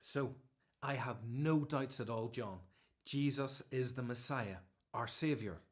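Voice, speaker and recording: An actor playing a loyal disciple in a radio Bible drama, earnest and reassuring. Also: male voice, medium pitch, measured pace, natural voice. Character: loyal male disciple consoling an imprisoned friend.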